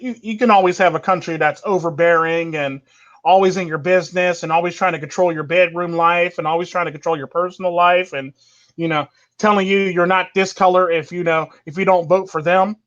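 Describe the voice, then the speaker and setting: A man's voice: sits high, fairly even intonation, fairly quick, fairly bright tone. A man hosting a livestream in casual chat, opinionated, rambling on in long runs and laughing easily. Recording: dull lo-fi livestream audio.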